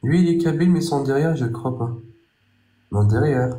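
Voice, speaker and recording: A man's voice: mid-range voice, moderate pace, warm tone, reverberant. A man hosting a casual live-stream chat, blunt and self-assured. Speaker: TikTok live host.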